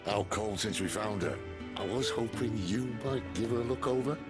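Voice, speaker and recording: An actor playing a worldly, gruff middle-aged outlaw leader in a video game cutscene, speaking in a dry, wry, unhurried way. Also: male voice, on the low side, loud music behind the voice, close to an everyday voice. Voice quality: gravelly. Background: orchestral game score.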